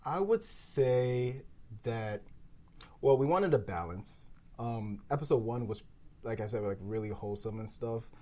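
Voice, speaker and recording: A man's voice: mid-range voice, expressive, a little slow, warm tone. A man co-creating a podcast, talking through ideas in a thoughtful, rambling way with many fillers. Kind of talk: casual round-table discussion.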